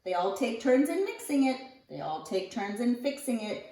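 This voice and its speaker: A woman reading a rhyming children's picture book aloud in an expressive, theatrical storyteller's manner. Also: on the high side, moderate pace. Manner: theatrical, expressive, with acted sighs and emphasis. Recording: roomy echo, distant microphone.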